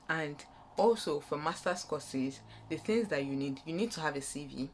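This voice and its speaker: A woman's voice: low voice, a little hiss or hum. A young woman with a Nigerian accent gives an informal, unscripted explainer as a vlogger, rambling and rephrasing her points as she goes. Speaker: vlogger giving student application advice.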